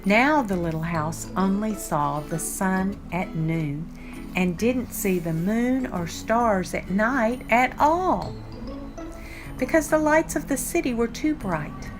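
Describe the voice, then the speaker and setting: Slow-paced older woman reading a storybook. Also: mid-range voice, lively intonation. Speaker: storyteller reading a children's picture book. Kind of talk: read-aloud storytime narration. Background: background music.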